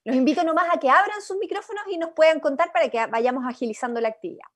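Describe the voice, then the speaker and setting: High-pitched young Chilean woman leading a workshop. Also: quick pace. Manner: encouraging, inviting. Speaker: workshop facilitator. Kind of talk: informal guided workshop talk. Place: indoor room, on a video call. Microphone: video-call computer microphone.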